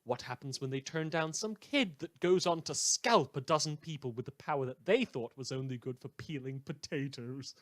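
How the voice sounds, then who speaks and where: A man's voice: mid-range voice, moderate pace, hardly any pauses, close to an everyday voice. A man acting as a snide, theatrical small-town radio host, reading mock news in a scripted fan drama. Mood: sardonic. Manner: sardonic, theatrical, swinging from muttered asides to outbursts.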